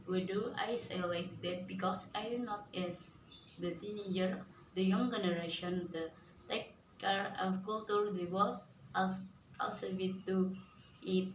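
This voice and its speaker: A young woman with an Indonesian accent delivering a memorized formal speech quickly, with frequent restarts. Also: mid-range voice, steady tone. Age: young adult. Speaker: student speaker. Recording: roomy echo, from far off, faint noise.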